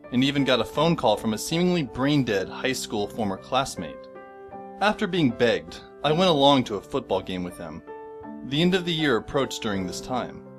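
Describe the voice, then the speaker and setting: Guy doing a snarky teen boy narrating, dry and sarcastic, pitch moves around a lot, music under it. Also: close to an everyday voice. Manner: dry, sardonic. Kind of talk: fan audio drama narration. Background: background music.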